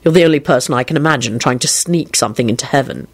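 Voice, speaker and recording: A woman's voice: extremely low pitch, quick pace. A woman voicing a wry, teasing man in a story, with dry amusement.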